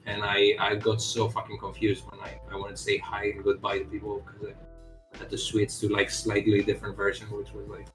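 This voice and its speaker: A man's voice: fairly low pitch, completely flat delivery, a little fast, fairly bright tone, reverberant, faint music behind, rough recording. A man game developer chatting casually on a live stream, rambling with lots of "like" fillers and the odd swear word.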